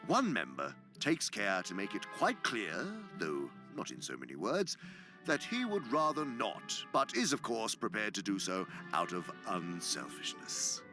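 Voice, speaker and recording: A man's voice: lowish voice, huge pitch swings, unhurried, music in the background, exaggerated voice. A man playing a sly, gloating senior demon who lectures pompously for a radio drama, savoring his words and sometimes dropping to a whisper. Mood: smug relish. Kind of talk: theatrical radio drama monologue.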